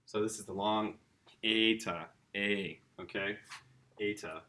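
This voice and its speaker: A middle-aged man with a fairly low voice teaches a laid-back video lesson. He talks in a chatty, easygoing way and stops to fix his own mistakes as he writes on a board. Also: slow pace, slight background noise. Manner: relaxed and chatty, self-correcting while writing on a board. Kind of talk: casual classroom video lesson.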